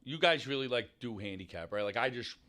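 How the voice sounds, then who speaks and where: A man's voice: medium pitch, lively intonation, a little fast, pushed and bright. A man in his early forties bantering casually, informal and teasing. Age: early 40s.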